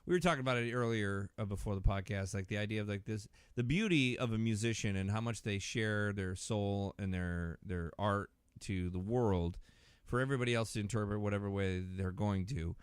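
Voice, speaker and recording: Low, smooth-voiced man in casual chat. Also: fairly quick, few pauses, dry recording. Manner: rambling, candid, often breaking off mid-thought. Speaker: podcast co-host.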